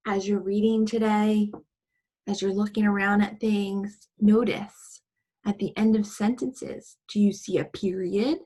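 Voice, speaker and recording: A woman teaching a children's English lesson, explaining in simple, deliberate phrases and posing questions to her pupils. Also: mid-range voice, a little mellow, husky, low-quality recording.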